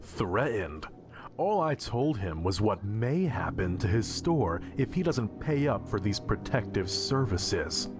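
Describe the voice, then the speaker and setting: A slow, menacing enforcer thug. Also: male voice, on the low side, big pitch swings. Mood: threatening. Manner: threatening, deliberate. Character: menacing male enforcer thug, bullying, smug. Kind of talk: visual novel game voice acting. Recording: prominent music behind the voice.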